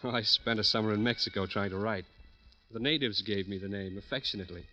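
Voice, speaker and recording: An actor playing a pretentious, touchy bohemian would-be writer, affected and self-important, in an old radio crime drama. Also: male voice, fairly low pitch, fast talker, bright, forceful tone. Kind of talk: 1940s-50s radio crime drama acting. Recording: muffled old radio recording with music.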